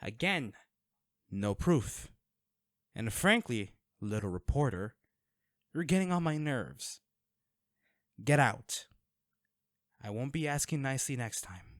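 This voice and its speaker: A dry, intimate recording carries a man acting as a wealthy, secretive vigilante in an audio drama. He is medium-pitched and very animated, moving between sarcasm and curt menace. He speaks straight at the listener, guarded and threatening. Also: slow pace, pauses often, no echo.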